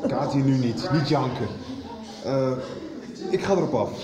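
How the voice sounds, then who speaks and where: A man's voice: medium pitch, a little slow, crowd noise, natural voice. An actor playing an angry, protective young man in a radio soap, blunt and commanding in the way he talks. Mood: agitated, urgent. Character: angry, protective young man confronting his estranged father. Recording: roomy, noisy 1990s radio drama recording.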